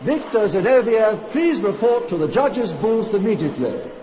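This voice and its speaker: An actor playing a formal ice-rink announcer in a vintage radio drama, measured and official. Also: male voice, high-pitched, sing-song. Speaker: arena announcer. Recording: echoey hall, muffled old radio.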